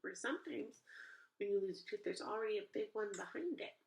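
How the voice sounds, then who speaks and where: A woman reading a picture book aloud to young children, pausing to ask them questions in an animated way. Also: mid-range voice, gravelly.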